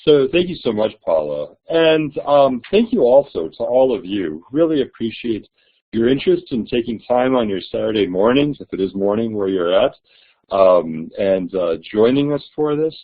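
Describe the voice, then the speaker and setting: A man's voice: mid-range voice, big pitch swings, normal speed. A man hosting a webinar, giving friendly closing remarks with frequent fillers. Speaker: webinar host. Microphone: video call. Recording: tinny online call audio.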